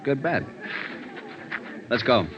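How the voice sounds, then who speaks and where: A man playing a tough, seasoned police sergeant with a brisk, authoritative manner in a 1950s radio drama. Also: medium pitch, sing-song. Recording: dull, slightly noisy vintage radio recording.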